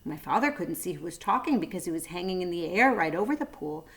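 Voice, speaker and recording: A woman's voice: on the low side, lively intonation, fast, creaky, a bit bright. A woman reading a children's storybook aloud, adding short asides for young listeners.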